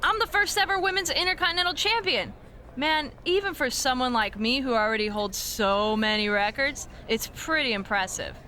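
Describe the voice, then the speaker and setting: A woman's voice: high-pitched, animated, normal speed, slight background noise. An actress playing a boastful, bratty woman wrestling champion in a video game cutscene, smug and mocking. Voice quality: bright, taunting.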